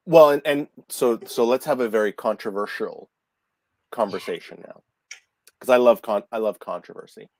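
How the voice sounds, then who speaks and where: A middle-aged man with a Canadian accent hosting a casual video call chat, animated and often restarting his words. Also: on the low side, normal speed, dry recording. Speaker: host. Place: office room, indoors. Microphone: video call webcam.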